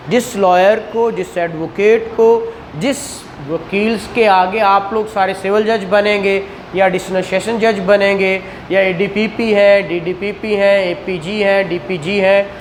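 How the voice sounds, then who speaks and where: Over background noise, a man with a Pakistani accent teaches in a fairly high voice. His delivery is very slow and didactic. He keeps putting questions to his students and repeats the answers for them. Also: low-quality recording. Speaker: law lecturer. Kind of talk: classroom exam-prep lecture.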